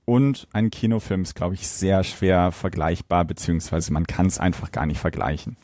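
A man with a fairly low voice chats casually as a podcast guest. The recording is dry and free of room sound. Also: normal speed, darkish tone, dry recording.

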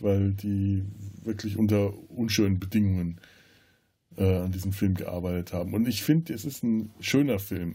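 A man's voice: fairly low pitch, dark tone, slightly rough. A man talks about a film as a podcast host in a casual way, often searching for words. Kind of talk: casual film discussion with scene retelling.

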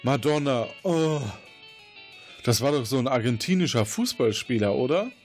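A man's voice: medium pitch, lively intonation, normal speed, faint music behind, natural voice. A man playing a naive, proud and dim-witted secret agent in a comedic audio drama, hesitant and easily puzzled.

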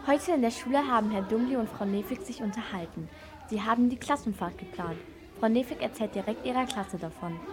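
A woman's voice: on the high side, a little fast, a crowd in the background. A female narrator telling a scripted story in a children's radio play.

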